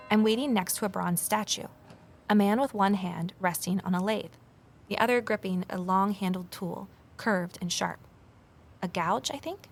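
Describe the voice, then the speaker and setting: A woman's voice: mid-range voice, gravelly, a little hiss or hum, natural voice. An actress playing a curious, watchful amateur investigator, telling her mystery in the first person and talking with others. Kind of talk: audio drama narration and dialogue.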